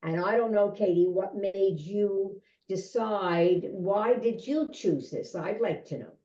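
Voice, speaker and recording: A woman's voice: mid-range voice, takes it slowly, mellow. A woman in a virtual book club, talking enthusiastically over a video call. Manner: enthusiastic, gushing. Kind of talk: casual group discussion. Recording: echoey lo-fi call audio.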